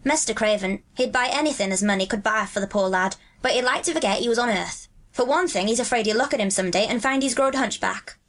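A woman's voice: on the high side, quick pace, hardly any pauses, voice pushed up, lightly acted. A woman voicing a kindly, earnest country boy in a storybook, speaking plain, homely Yorkshire dialect in a reassuring way. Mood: sombre, earnest.

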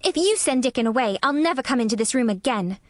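A woman voicing a stubborn, sour young girl with a sharp, contrary manner in a storybook reading. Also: sits high, big pitch swings, moderate pace, no echo, clean studio sound, pitched above the performer's own voice. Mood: defiant, sour.

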